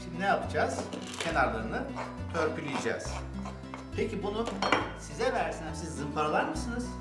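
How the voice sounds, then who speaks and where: Older man with lively, swooping intonation. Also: a little high, normal speed. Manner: addresses children with endearments and guiding questions. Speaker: grandfather hosting a children's toy-making show. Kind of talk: step-by-step craft instruction for children. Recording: roomy echo with music. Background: music.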